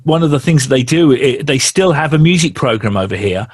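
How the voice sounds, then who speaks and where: A man's voice: medium pitch, lively intonation, fairly quick, slight background noise. A man with a South London accent, a musician chatting casually in an interview and telling stories with many restarts. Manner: anecdotal, self-deprecating, frequent restarts. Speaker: guest musician.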